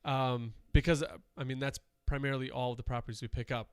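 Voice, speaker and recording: A man's voice: medium pitch, steady tone, a little fast, smooth, no echo, studio quality. A man co-hosting a podcast, chatting casually and rambling with fillers, a little nasal from dust. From Wisconsin. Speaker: podcast co-host. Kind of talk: casual chat.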